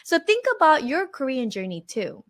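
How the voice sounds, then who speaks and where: A woman in her thirties leads an online language masterclass, her voice sitting a little high and swinging widely in pitch. She talks in an animated, encouraging way and acts out her own past words. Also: moderate pace. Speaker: Korean language teacher.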